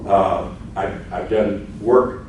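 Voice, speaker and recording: A man's voice: sits low, extremely slow, darkish tone. Folksy man presenting in an echoey room. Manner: rambling, folksy, earnest. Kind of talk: live presentation speech. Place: event room with audience. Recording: echoey room, far from the mic, noisy.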